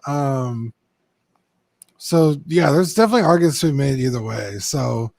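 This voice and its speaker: A man's voice: mid-range voice, expressive, moderate pace, creaky, darkish tone. A young man co-hosting a casual collectibles podcast, rambling with lots of ums and the odd swear. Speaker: co-host.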